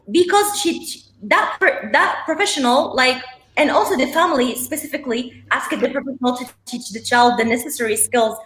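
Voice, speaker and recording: Moroccan-born woman panelist, non-native English, halting, echoey room, bright higher voice. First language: not English. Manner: hesitant, many fillers and restarts. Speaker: panelist, behavior professional. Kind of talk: panel discussion.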